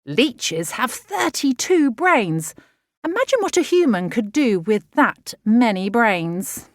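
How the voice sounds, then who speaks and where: A woman reading a children's nonfiction audiobook in an expressive, measured, explanatory way. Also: a little high, no room sound, studio quality. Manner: clear, measured, expressive teaching delivery for children. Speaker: author narrating own audiobook.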